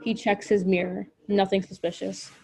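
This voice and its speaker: A woman reading scripted stage directions at a table read in an even, matter-of-fact way. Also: mid-range voice, normal speed, husky.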